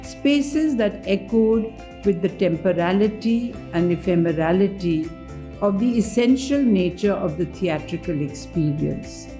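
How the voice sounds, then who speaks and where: Mellow woman giving a measured literary reading. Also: medium pitch, animated, soft background music. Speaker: reader at a book event. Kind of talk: literary dramatic reading of a memoir.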